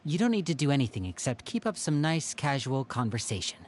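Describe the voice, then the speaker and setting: A man's voice: medium pitch, sing-song, a little fast, a little mellow, a little hiss or hum, lightly acted. A man voice acting a theatrical young magician and protective elder brother in a video game, speaking in a coaxing way. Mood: serious, protective.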